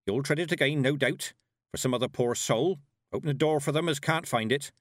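Brief: a man as a bossy old witch woman, pitched up, loud and curt, dry close sound. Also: no room sound, lightly acted. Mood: stern. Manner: brisk, bossy, no-nonsense. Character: stern old woman witch, sharp and commanding.

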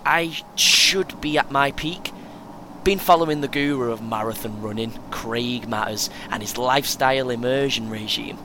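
A man playing a chatty, excitable, over-prepared first-time runner in an audio play, speaking in clipped short phrases. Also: medium pitch, moderate pace, lightly acted. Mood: excited. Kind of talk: naturalistic audio play acting. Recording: clear background noise, vehicle ambience.

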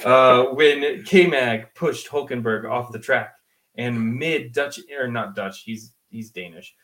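Male sports podcast co-host, mid-pitched voice, chatty and slangy, explains in casual conversation. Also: slow pace, fairly bright tone. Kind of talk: casual motorsport discussion.

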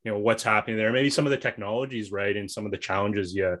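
A man's voice: on the low side, quick pace, a bit bright. A man hosting a podcast, asking loose, hedging questions full of "like" and "you know". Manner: curious, hedging questions with many "like"s. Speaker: podcast host. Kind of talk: casual interview chat.

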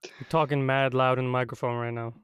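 A man's voice: mid-range voice, flat delivery, fairly quick, a bit bright, clean tone, no echo. A young man co-hosting a podcast, blunt and teasing in casual chat. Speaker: podcast co-host.

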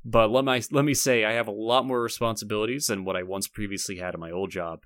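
A man's voice: lowish voice, steady tone, fast, fairly bright tone, no echo, clean studio sound. A man co-hosting a podcast in casual chat, rambling and often cutting his own sentences off to start again. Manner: rambling, self-interrupting. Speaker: podcast co-host.

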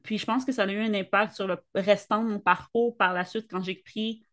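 A woman's voice: medium pitch, brisk. A young woman co-hosting a casual conversation, speaking candidly and personally. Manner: candid, personal, animated when quoting others. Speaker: podcast co-host.

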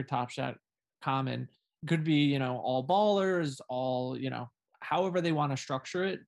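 A man's voice: mid-range voice, creaky. A man co-hosting a podcast, discussing casually and piecing his thoughts together in short fragments. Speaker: podcast co-host.

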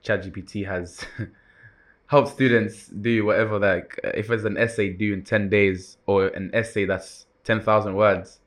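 A young university student co-hosting a casual chat, talking in a relaxed way with fillers and repeated words. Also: male voice, on the low side, unhurried. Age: young adult. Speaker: co-host.

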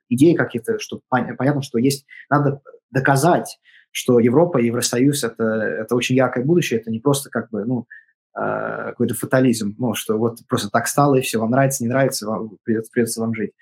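Creaky, husky man, halting. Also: mid-range voice, a little mellow. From Latvia. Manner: halting, many fillers and restarts. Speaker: interview guest, project author. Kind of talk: reflective interview answers.

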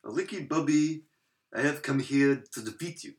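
A man's voice: fairly high pitch, normal speed, natural voice. A man putting on a smug fake-French racer. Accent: put-on French. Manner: smug, haughty. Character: pompous French male race car driver.

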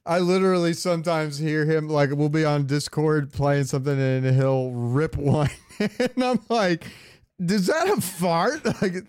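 A man's voice: fairly high pitch, measured pace, darkish tone, smooth, dry recording. A man co-hosting a podcast, chatting about games in an informal, joking way. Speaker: podcast co-host. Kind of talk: casual gaming chat, ranking voice lines.

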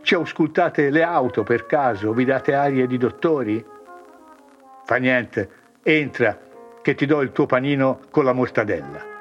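A man's voice: mid-range voice, animated, lo-fi sound, faint music behind, close to an everyday voice. A man playing a jovial, muddled old grocer. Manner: jovial shopkeeper banter, brisk and sure. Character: older male ex-grocer, cheerful, confused.

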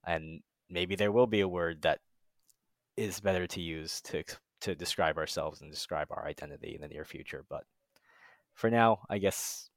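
A man from the US West Coast speaks in a low voice in a casual podcast discussion. He sounds reflective and a little tentative, recorded close and dry. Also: moderate pace, no room sound. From West Coast of the United States. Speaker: podcast host.

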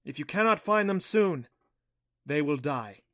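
A man voicing a solemn goddess who speaks prophecy in a heavy, slow, commanding voice for a fantasy story. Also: on the high side, expressive, a bit bright, dry recording, clean studio sound, pitched above the performer's own voice. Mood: urgent warning. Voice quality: heavy voice, hissing.

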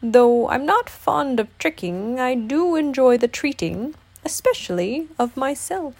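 A woman voicing a gentle, easygoing male toy bear in a children's story, with mild, polite, naive phrasing. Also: sits high, expressive, measured pace, voice pushed up, heavily acted. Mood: contented.

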